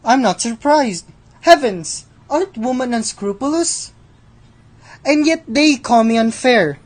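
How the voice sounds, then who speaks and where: A woman playing Death, a sardonic, playful, menacing male personification, in a radio drama with a slow, mocking delivery. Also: on the high side, expressive. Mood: wry, amused.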